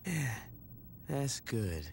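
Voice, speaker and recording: Brash game gang boss, mid-pitched, sarcastic, blunt, cocky, noisy background. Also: male voice, sing-song, drawn-out words, creaky, heavily acted. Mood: lazy, laid-back. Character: cocky foul-mouthed male street gang boss. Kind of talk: game cutscene acting.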